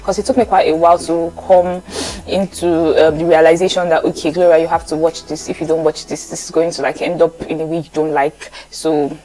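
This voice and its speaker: A woman's voice: deep voice, little pitch movement, fairly quick, dark tone, rough recording. A young woman from Lagos with a Nigerian accent, chatting casually to viewers and repeating phrases for emphasis. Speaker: vlogger.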